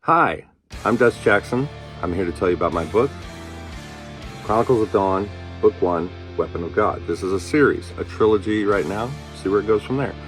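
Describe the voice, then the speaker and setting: A man's voice: lowish voice, lively intonation, moderate pace, faint music behind. A man giving an informal promo for his own book as its author.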